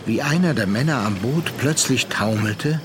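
An actor narrating a literary radio play as a grave, reflective storyteller with measured delivery. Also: male voice, mid-range voice, expressive, noisy background, lightly acted.

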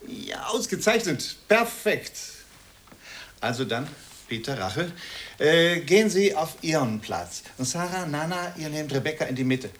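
A courteous painter directs his sitters in a radio drama with careful, slightly hesitant politeness. His voice is a bit high and very varied in pitch, with faint noise behind it. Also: very animated, unhurried, fairly bright tone. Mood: polite directing. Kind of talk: German radio play acting.

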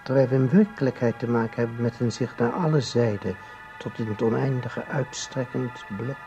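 A man acting as a composed, scholarly traveller through time in a radio drama. He explains patiently and formally in a mid-pitched, measured voice, with faint music behind. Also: dull audio, close to an everyday voice. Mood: calm, reasoning. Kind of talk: Dutch radio play acting.

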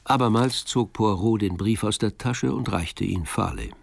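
A man's voice: on the low side, moderate pace, creaky. A man narrating a radio drama in a scripted, literary storytelling style. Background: music.

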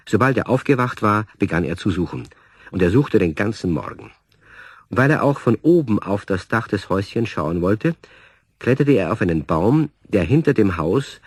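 Low, husky male storyteller. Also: no echo. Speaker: narrator. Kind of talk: scripted storytelling narration.

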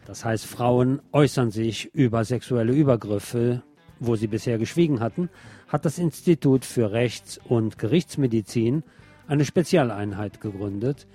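A man reads local news from a script in a soft, dark-toned, relaxed voice. He has a sardonic, editorializing manner that colours the reading. Faint music with guitar plays behind him. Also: medium pitch. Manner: sardonic, opinionated asides. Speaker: radio news presenter.

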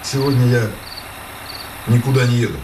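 An actor playing a restless, wry village schoolteacher in a radio play, brooding and ironic. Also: male voice, medium pitch, steady tone, normal speed, noisy background, natural voice. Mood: apologetic.

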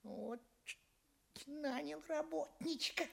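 Miserly farm boss, fairy-tale audio drama: exasperated, stammering, slow-paced, with gravelly creak. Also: male voice, extremely high pitch, heavily acted. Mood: flustered, placating. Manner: spluttering, stammering when flustered. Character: miserly, exasperated male farm master feigning calm.

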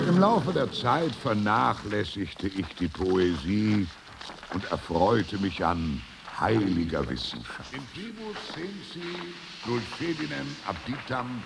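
An actor playing an ageing medieval poet-scholar who soberly looks back on life, reciting in a solemn, measured radio-play manner. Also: male voice, on the low side, vocal fry, background noise, heavily acted. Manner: measured, declamatory.

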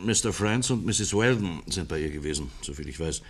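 An actor playing a polite, earnest police investigator in a 1950s German radio play, courteous and methodical with small hesitations. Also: male voice, low-pitched, animated, a little fast.

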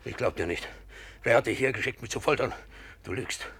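A man's voice: mid-range voice, a little fast, a bit bright, slightly rough. An actor playing a tormented, distraught man in a German radio play, anguished and accusing.

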